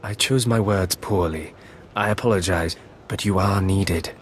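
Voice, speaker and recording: Game villain's apprentice, very low, formal and persuasive, serving a shadowy master, faint noise behind. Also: male voice, measured pace. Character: scheming Sith apprentice, deferential servant of shadowy master. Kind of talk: video game cutscene acting.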